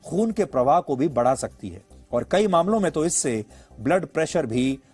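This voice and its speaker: High-pitched male news anchor over music. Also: animated, moderate pace, a little mellow, breathy edge. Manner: emphatic, rhetorical, explanatory. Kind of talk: news commentary, editorial monologue.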